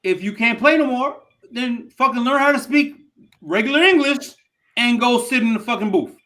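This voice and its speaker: High, bright-voiced profane man. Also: normal speed. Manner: profane, blunt, emphatic. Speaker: podcast co-host. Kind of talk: casual sports chat.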